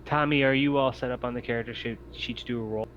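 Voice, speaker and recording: A man acts as game master in a casual online tabletop session. He pauses often and encourages as he narrates. The sound is slightly muffled, with faint noise behind him. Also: medium pitch, steady tone, fairly bright tone. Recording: muffled, noisy home mic on online call.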